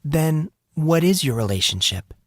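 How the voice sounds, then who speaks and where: A man's voice: a little high, very animated, a little slow, dry recording, studio quality, pitched above the performer's own voice. A man voicing a smug, prying, motherly woman with a sweetly insistent manner in an audiobook. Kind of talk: audiobook character voice.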